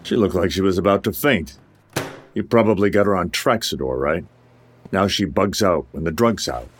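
Cocky, low-voiced ex-Marine tough guy played by an actor. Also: normal speed, slight background noise, lightly acted. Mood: casual, blunt. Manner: mocking, swaggering, blunt. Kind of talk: audio drama acting, taunting monologue.

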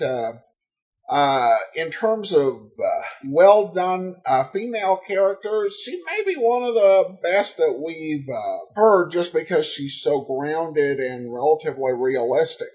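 A man hosting a podcast with loose solo commentary, halting and full of ums. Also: high-pitched, darkish tone. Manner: halting, many ums and broken phrases. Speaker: podcast host.

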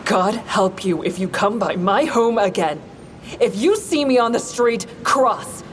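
A woman's voice: medium pitch, expressive, measured pace, a little hiss or hum, lightly acted. An actress playing a bitter, defiant woman who narrates a confrontation with her former partner in an audio drama. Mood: scornful, bitter. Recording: music behind the voice.

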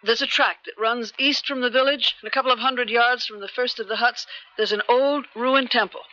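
An actor playing a hesitant, agreeable fugitive companion in a 1970s radio drama. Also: male voice, very high-pitched, steady tone, brisk, sharp tone. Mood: thoughtful. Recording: muffled 1970s radio broadcast.

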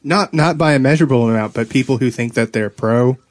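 A man's voice: medium pitch, a little fast. A man co-hosting a casual gaming podcast with a wry, teasing manner. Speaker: podcast co-host.

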